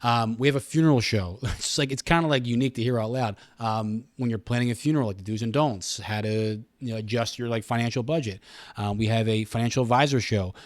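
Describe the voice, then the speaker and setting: A middle-aged American man speaks as a guest in a relaxed interview. His pitch is average for a man, and he explains earnestly, often restarting phrases. The sound is close and dry. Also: quick pace, no echo. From Pennsylvania, United States. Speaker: radio station communications director, podcast guest. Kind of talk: casual interview conversation.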